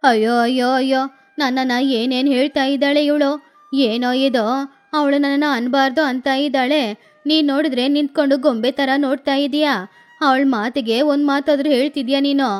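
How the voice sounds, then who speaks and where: A woman voicing a greedy, sharp-tongued old mother-in-law who scolds and bickers, over faint music. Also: sits high, steady tone, normal speed, natural voice. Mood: scolding. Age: older. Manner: scolding, quarrelsome.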